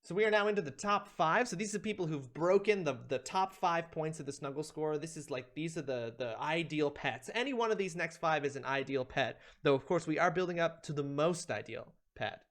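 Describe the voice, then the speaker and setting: A man's voice: a little high, brisk, few pauses, fairly bright tone. A man hosting a stream, giving a playful, theatrical reading of a comedic ranked list with emphatic delivery. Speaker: streamer, host.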